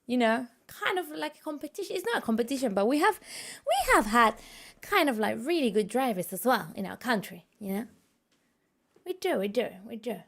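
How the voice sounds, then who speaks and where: A woman's voice: a little high, very animated, a little fast, creaky. A woman from Spain with a Spanish accent, chatting playfully with viewers during a game stream from her room, laughing often. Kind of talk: casual gaming stream chat.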